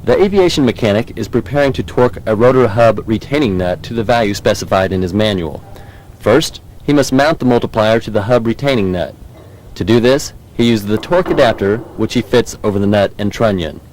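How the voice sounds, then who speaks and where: American guy narrating a training film, lowish voice, formal and measured, reading a script. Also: lively intonation, darkish tone, a little hiss or hum, low-quality recording. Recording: 1990s VHS training film soundtrack.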